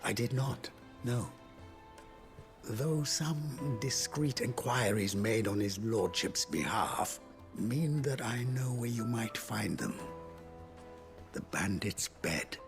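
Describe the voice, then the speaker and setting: A man's voice: medium pitch, slow, over music. A man playing a deferential, patient manservant in a video game, speaking with formal, obliging eloquence. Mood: courteous, obliging. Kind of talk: video game cutscene acting.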